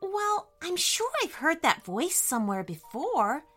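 Woman playing a sweet, high-voiced young princess. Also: sing-song, unhurried, fairly bright tone, faint music behind, exaggerated voice. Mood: hushed, curious. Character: young princess girl, sweet, eager and adventurous.

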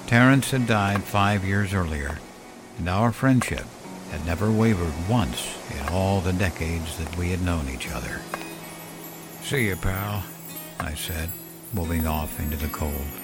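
Low-voiced man narrating a solemn story. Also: rough recording. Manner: measured, solemn, reflective storytelling. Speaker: narrator of a horror fiction podcast. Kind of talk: scripted first-person story narration. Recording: music behind the voice. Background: clear music bed.

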